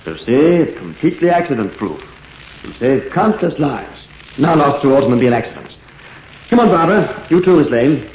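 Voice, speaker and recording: A man's voice: mid-range voice, very animated, moderate pace, a little mellow, natural voice. A man acting an idealistic inventor in a 1940s radio drama, with an Australian accent. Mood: eager, proud. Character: idealistic, fervent male inventor devoted to his machine. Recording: dull, echoey, noisy old radio recording.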